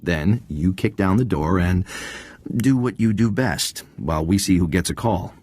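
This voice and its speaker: A man's voice: low voice, animated, measured pace, darkish tone, husky, exaggerated voice. An actor playing a confident male intelligence agent in a video game, wry and businesslike as he gives briefings.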